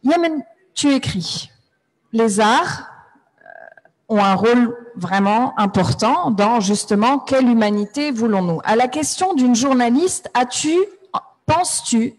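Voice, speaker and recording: Modératrice à la voix assez aiguë. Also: female voice, fairly high pitch, low-quality recording. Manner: quotes guests' words back to them. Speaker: moderator. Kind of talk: moderating a panel.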